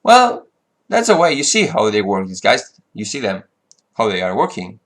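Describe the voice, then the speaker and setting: A man's voice: on the low side, huge pitch swings, moderate pace, fairly bright tone. Frustrated man. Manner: frustrated, rambling with restarts and fillers. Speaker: flight sim vlogger. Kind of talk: casual monologue.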